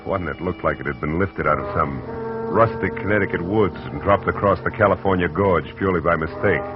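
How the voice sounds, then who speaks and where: A man's voice: sits low, fairly quick, over music, natural voice. A man playing a wry, hard-boiled private eye in a 1940s radio drama, who also narrates, on a muffled old recording. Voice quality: dry, wry. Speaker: narrator and lead.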